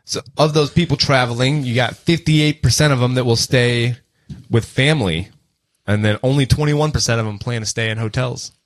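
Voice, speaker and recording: A man's voice: mid-range voice, moderate pace. A man hosting a podcast, chatting casually and sharing figures with his friends in an easygoing conversational style. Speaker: podcast host. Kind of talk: casual podcast chat, reading out statistics.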